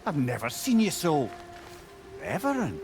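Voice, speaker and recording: A wry male companion from a video game. His voice sits a little high for a man and moves about a great deal as he teases, with music underneath. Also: huge pitch swings, measured pace. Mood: teasing. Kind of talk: video game cutscene acting.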